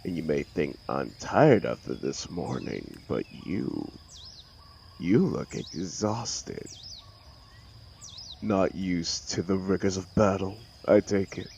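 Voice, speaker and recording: Man playing a very deep, gravelly supervillain. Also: sing-song, a little mellow, a little hiss or hum, exaggerated voice. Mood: scornful. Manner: sneering, sarcastic, theatrical, drawn-out delivery. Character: arrogant mocking telepathic male supervillain, threatening and contemptuous. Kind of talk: dramatic audio roleplay monologue.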